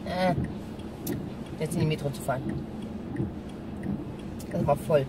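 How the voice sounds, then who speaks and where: A woman's voice: sits low, fairly even intonation, slow pace. A middle-aged woman chats casually and ramblingly to camera as she drives, thinking aloud. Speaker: vlogger. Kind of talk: casual chat to camera while driving. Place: inside a small car. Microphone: dashboard camera at arm's length. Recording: distant mic, loud car driving noise.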